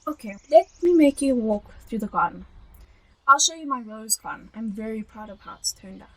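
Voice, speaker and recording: A woman's voice: fairly high pitch, expressive, normal speed, a bit bright, poor audio. A young woman with a South African accent playing a bossy, chatty, house-proud elderly wife in an amateur radio drama. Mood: proud, insistent. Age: teenager. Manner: insistent and chatty.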